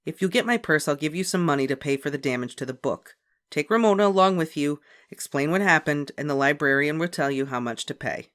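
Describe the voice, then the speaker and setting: A woman's voice: sits low, fairly quick, a bit bright. A woman voicing a mother who scolds her children in a firm but patient tone.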